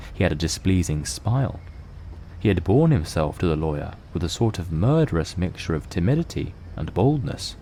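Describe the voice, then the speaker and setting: A man narrates a literary audio drama in a very deep, dark voice. His delivery is measured and scripted, with faint ambient noise behind him.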